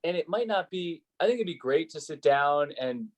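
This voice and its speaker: A man's voice: a little high, lively intonation, normal speed. A man who works as a sports play-by-play announcer, speaking conversationally in an interview and explaining his advice in an earnest, emphatic way.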